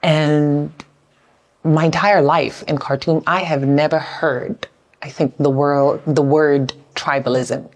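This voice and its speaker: A woman's voice: deep voice, steady tone, slow, a little mellow. A woman from South Sudan interviewing a younger guest, speaking thoughtfully and often repeating words as she thinks. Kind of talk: conversational interview.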